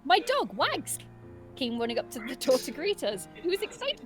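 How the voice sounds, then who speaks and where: A woman with a high voice reads a visual novel aloud on a stream. Her delivery is very animated and giggly, slipping between story narration and casual chat. Game music plays softly behind her. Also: moderate pace. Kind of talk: expressive reading of narration with casual banter.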